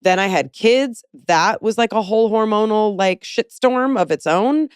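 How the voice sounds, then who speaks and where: A woman's voice: mid-range voice, moderate pace, clean tone, dry recording, studio quality. A woman in her forties hosting an interview, talking candidly and rambling with many fillers. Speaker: podcast host. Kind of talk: casual interview chat.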